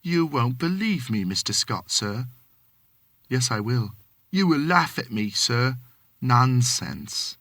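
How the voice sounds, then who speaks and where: A man's voice: medium pitch, very animated, slow pace, a bit bright, no room sound, studio quality, full character voice. A man voicing a nervous, deferential young Cockney servant who keeps calling his master sir. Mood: fearful.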